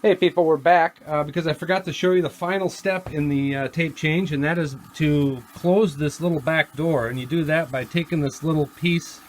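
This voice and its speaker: Casual man demonstrating vintage gear, slightly higher-pitched. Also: steady tone. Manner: unhurried, plainspoken step-by-step explaining. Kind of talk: casual hands-on product demo.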